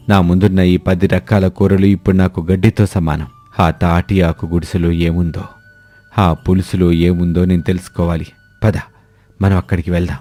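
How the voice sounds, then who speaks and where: A man as a deep-voiced, fussy village headman. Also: warm tone, dry recording, natural voice. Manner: grumbling, insistent.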